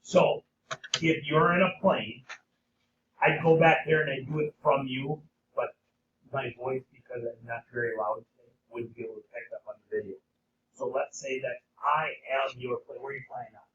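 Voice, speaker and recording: Hoarse male teacher in a roomy classroom. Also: medium pitch, fairly even intonation, normal speed, noisy background. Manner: frequent "okay?" check-ins with students. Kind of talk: classroom lecture. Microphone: camera at a distance. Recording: roomy, distant, dull classroom sound.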